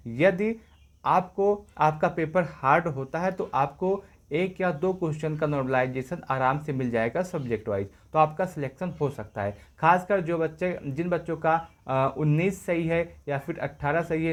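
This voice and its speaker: A man presenting exam updates as an informal explainer, going over points repeatedly in a reassuring way. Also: a little high.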